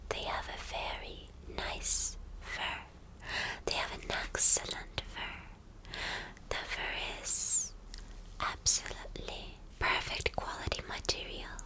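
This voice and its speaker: Villainess girlfriend, played by a woman, ASMR roleplay: whispered, very unhurried, spoiled, cruel, possessive, coaxing yet bossy. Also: poor audio. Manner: coaxing, domineering, slow and teasing endearments.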